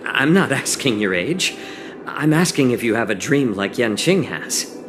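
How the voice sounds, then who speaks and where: A man's voice: mid-range voice, animated, measured pace, noisy background, close to an everyday voice. A man voicing a suave, teasing healer in a video game cutscene, with a leisurely manner. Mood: patient.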